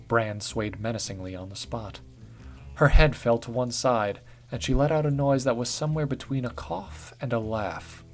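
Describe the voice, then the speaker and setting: A man narrating a story in a steady storytelling delivery. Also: medium pitch. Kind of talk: reading a story aloud.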